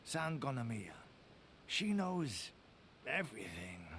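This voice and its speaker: An actor playing a laid-back, reclusive villager in a video game, drowsy, unhurried and aloof. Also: male voice, medium pitch, sing-song, fairly bright tone, slight background noise. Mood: drowsy, content. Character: laid-back reclusive male villager who wants only peace.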